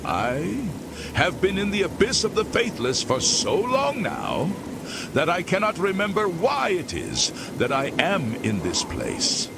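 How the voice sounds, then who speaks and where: An actor playing an ancient, weary former priest damned to an endless abyss, speaking slowly and solemnly. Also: male voice, sits high, huge pitch swings, creaky, heavy background noise, heavily acted. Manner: slow, solemn, drawn-out delivery. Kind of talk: game character dialogue. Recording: loud rain and thunder behind.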